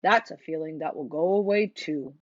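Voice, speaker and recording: A woman voicing a calm, reassuring father turtle in a children's storybook, in a steady, soothing way. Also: deep voice, moderate pace, no echo, lo-fi sound.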